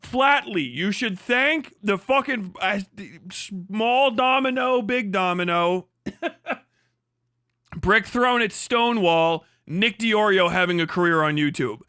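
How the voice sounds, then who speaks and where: A man's voice: high-pitched, slow pace, bright, forceful tone, clear, resonant tone, no room sound, studio quality. A man hosting a livestream, delivering a profane, mocking commentary rant and laughing often. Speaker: livestream host.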